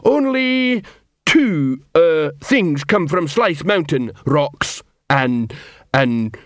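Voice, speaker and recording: A man voicing a big, slow-witted troll sergeant in an audiobook, blustering and proud, barking orders in broken grammar. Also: fairly high pitch, animated, no room sound, studio quality, voice pushed up. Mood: flustered.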